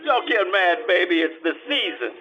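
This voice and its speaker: A man's voice: sits high, animated, moderate pace, muffled recording. Man acting a sardonic hard-boiled private eye. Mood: teasing, breezy. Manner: sardonic, slangy, terse. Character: hard-boiled, wisecracking male private detective. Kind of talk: old-time radio detective drama acting.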